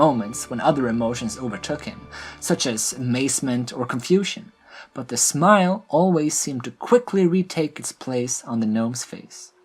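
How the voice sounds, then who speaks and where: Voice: mid-range voice, slightly rough. A storyteller narrates a scripted fantasy tale. The voice sits in a middle register, and music plays faintly behind it.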